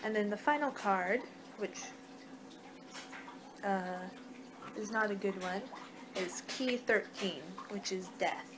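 A young woman doing an ASMR card-reading role-play with a halting, unhurried delivery. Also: medium pitch. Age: young adult. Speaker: ASMR performer in a fortune-teller role-play. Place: small home room, indoors. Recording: noisy amateur webcam-style room recording.

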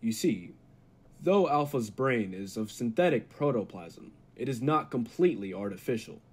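An actor playing a grave, calculating laboratory assistant in an old-time radio drama, speaking formally with a husky voice. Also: male voice, medium pitch, lively intonation, normal speed, darkish tone. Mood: calm, businesslike.

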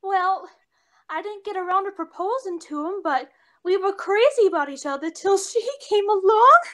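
Brash young chorus girl, squeaky-high voice, lovesick wisecracker, old-time radio comedy. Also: animated, normal speed, fairly bright tone. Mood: lovesick, pleading. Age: young adult. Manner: slangy, melodramatic tough-girl talk. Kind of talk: 1940s radio comedy acting. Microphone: seated before camera on video call.

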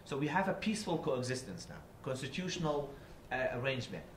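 A man's voice: medium pitch, big pitch swings, brisk. Man in an echoey room. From Iraqi Kurdistan. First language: Kurdish. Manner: earnest and explanatory, with frequent restarts. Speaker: guest speaker. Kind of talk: public talk. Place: university seminar room. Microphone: table mic from a seated distance. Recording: echoey room with faint noise.

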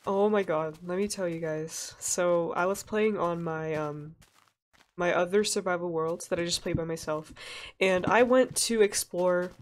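A young woman from Sweden with a stuffy, nasal voice, chatting casually and laughing as she narrates a relaxed gameplay session. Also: on the low side, measured pace. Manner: chatty, rambling, laughs often. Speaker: let's play gamer.

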